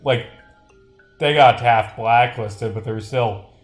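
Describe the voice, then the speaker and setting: A man's voice: mid-range voice, takes it slowly. Male game developer on stream, off-the-cuff and rambling; roomy sound over music. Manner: rambling, thinking out loud. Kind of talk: casual livestream commentary, reading script lines aloud.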